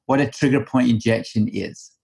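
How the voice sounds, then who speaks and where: A man's voice: mid-range voice, moderate pace, gravelly, darkish tone, husky. A Canadian man, a physician hosting his podcast, asking plain, friendly questions in a conversational way. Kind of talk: podcast interviewing.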